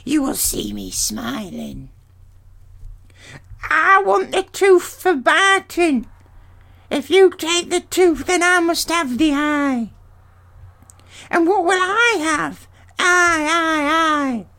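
A woman voicing ancient grey hag sisters in a storybook reading, shrieking and squabbling in a high, thin voice. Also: animated, slow pace, pauses often, bright, forceful tone, heavily acted. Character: shrill ancient crone sisters, squabbling and whining.

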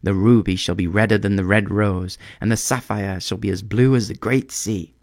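A man's voice: fairly low pitch, steady tone, moderate pace, darkish tone, dry recording, clean studio sound, lightly acted. A man voicing a small, devoted male swallow in a storybook, tender-hearted and earnest in a pleading way.

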